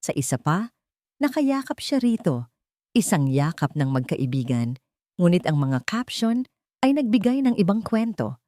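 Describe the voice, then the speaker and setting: Low-voiced woman narrating a dramatic story. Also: sing-song, measured pace, mellow, dry recording, studio quality. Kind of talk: scripted dramatic narration of romance audio drama.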